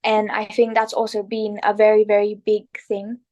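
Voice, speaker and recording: A woman's voice: mid-range voice, completely flat delivery, moderate pace, a little mellow. A young woman with a Kenyan accent, talking earnestly as a guest about founding her nonprofit, with frequent restarts. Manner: earnest and self-deprecating, with restarts. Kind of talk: casual interview talk.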